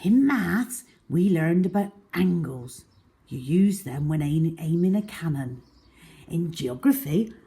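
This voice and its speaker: A woman's voice: low-pitched, warm tone. A woman storyteller reading a children's diary-style story aloud with expression.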